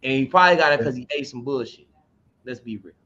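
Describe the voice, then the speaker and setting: A man in his twenties in casual chat, animated and teasing, on slightly muffled audio. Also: medium pitch, fast. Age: 20s.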